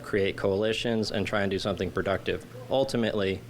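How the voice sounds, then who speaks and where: A man running for city council, speaking in a measured, plain-spoken way in a news interview. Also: deep voice, fairly even intonation.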